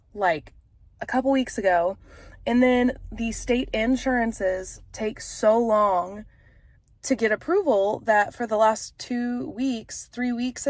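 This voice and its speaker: A woman's voice: on the high side, dry recording. A woman in her thirties with a stuffy, congested voice, talking frankly and casually to camera with frequent fillers. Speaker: vlogger and author.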